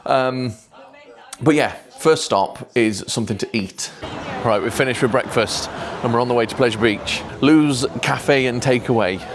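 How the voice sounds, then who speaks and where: A man, talking quietly and hesitantly to camera in a casual travel vlog, pausing often with ums, on a clip-on microphone. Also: mid-range voice, a crowd in the background. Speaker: vlogger.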